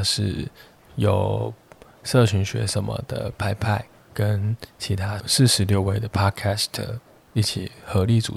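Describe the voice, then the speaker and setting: A man gives a podcast announcement as host. His low voice is heavily creaky and husky, with a fairly flat delivery. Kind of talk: spoken announcement.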